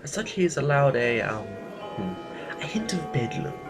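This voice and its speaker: Music sits behind a man acting as a haughty male aristocrat in an audio drama. He is smug and formal, with animated pitch swings and measured, deliberate pauses. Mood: condescending.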